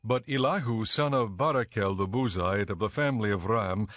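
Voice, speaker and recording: A man with a low voice reads scripture as a narrator, measured and formal. The sound is dry and close, in the manner of a produced audio Bible. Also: fairly bright tone, dry recording.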